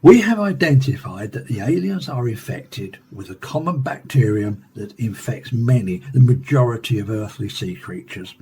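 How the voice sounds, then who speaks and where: A man playing a renowned professor interviewed in a science fiction radio play, explaining research in a measured, scripted way. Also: mid-range voice, lively intonation, darkish tone, a little hiss or hum, natural voice. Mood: assured, formal.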